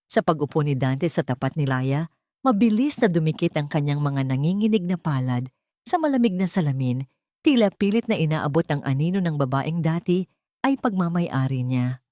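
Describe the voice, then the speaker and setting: Woman, Filipino accent, dramatic scripted storytelling, lower-pitched voice, dry sound. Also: expressive, moderate pace, mellow, no room sound. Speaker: story narrator.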